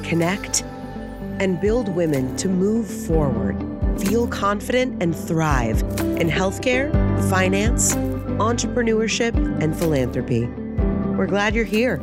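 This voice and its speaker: Slow woman's intro over loud music. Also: mid-range voice, expressive. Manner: slow, measured delivery. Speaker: podcast host. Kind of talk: scripted podcast intro.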